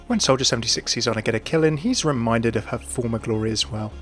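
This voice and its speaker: A man's voice: medium pitch, fast, fairly bright tone, soft background music. A man presenting scripted video game lore commentary in a chatty, enthusiastic manner.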